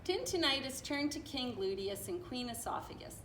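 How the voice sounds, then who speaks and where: A woman reading a children's story aloud in an expressive, playful way, with casual asides. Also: high voice, moderate pace. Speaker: storybook reader. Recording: echoey room with faint noise.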